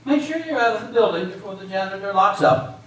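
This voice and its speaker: A man's voice: sits high, lively intonation, fast talker, fairly bright tone. A man on stage playing a curt, dismissive and unhelpful border officer in an amateur readers theater. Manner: dismissive, dry. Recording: echoey hall from far off, faint noise.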